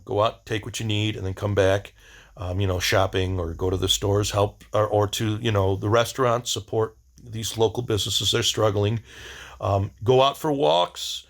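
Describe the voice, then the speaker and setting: Halting, blunt man rambling casually. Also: on the low side. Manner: halting, searching for words. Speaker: video diary host.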